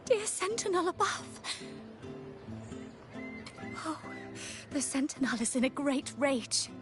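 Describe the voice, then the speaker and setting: A woman's voice: high voice, very animated, slow, music in the background, full character voice. An actress playing a devout, apologetic woman of a religious order in a video game, speaking in a formal, reverent, preachy way. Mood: anguished, fearful.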